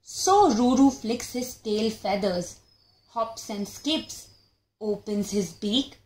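A woman storyteller reading a picture book aloud in an expressive, animated way for young children. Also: medium pitch, slow pace, a little hiss or hum. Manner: expressive, acts out animal calls and sounds. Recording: roomy, echoey sound.

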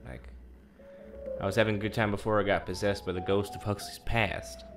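Actor as a wry, deep-voiced young man. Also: level tone, music in the background, natural voice. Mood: agitated, probing. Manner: casual, halting, wry. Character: sardonic, teasing, working-class young man, guarded but warm-hearted. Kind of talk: naturalistic audio drama dialogue.

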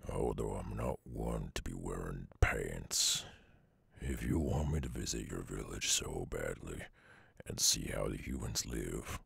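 A man voicing a brooding, weary loner werewolf with a Southern US accent and a gruff, husky drawl, in an ASMR audio roleplay. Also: rumbling low, fairly bright tone, dry recording, exaggerated voice. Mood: wary, grudging. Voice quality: very gravelly, husky. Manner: slow, drawling, murmured.

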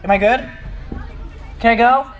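A young man vlogging casually on a handheld action camera, excitable and giggly, often squealing. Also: extremely high pitch, measured pace, crowd noise, noisy background. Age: young adult. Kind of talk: casual vlog chat.

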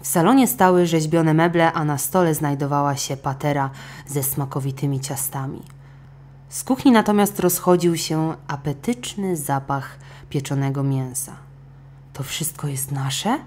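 Low-voiced woman reading a fairy tale. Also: moderate pace, a little mellow, slight background noise. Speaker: audiobook narrator. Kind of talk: scripted children's fairy-tale narration.

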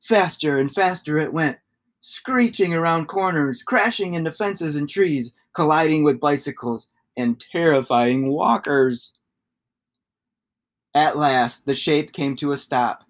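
A man's voice: a little high, measured pace. Man reading a children's storybook. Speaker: storybook reader. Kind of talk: expressive children's read-aloud.

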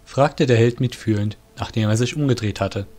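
A man's voice: mid-range voice, normal speed, a little mellow. A man narrating a scripted fantasy audio drama.

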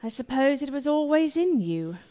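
An actress playing a bitter, blunt, disillusioned wife in a radio play, cool and cutting. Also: female voice, sits high, very animated, normal speed, dark tone. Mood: bitter, cold.

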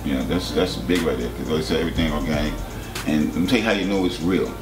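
A man's voice: a bass voice, fast talker. A man in his thirties, born in Jamaica, hosting a casual chat in a laid-back, rambling way with lots of filler phrases. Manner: laid-back, rambling, many "you know"s. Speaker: host. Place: indoor studio set with couch. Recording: roomy studio with music behind.

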